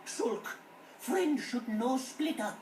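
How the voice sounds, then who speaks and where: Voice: sits high, expressive, slow pace, a little hiss or hum. An actor voicing a small, childlike furry creature in a video game, loyal and simple, speaking in broken childlike grammar. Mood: anxious, loyal. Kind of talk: video game cutscene acting. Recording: echoey, with loud game music behind.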